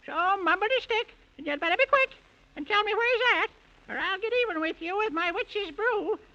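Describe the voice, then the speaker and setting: A woman's voice: very high voice, expressive, moderate pace, sharp tone, heavily acted. A woman acting a wicked, vengeful old witch in a 1930s children's radio play, speaking theatrically in rhyme. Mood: menacing. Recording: muffled lo-fi 1930s radio recording.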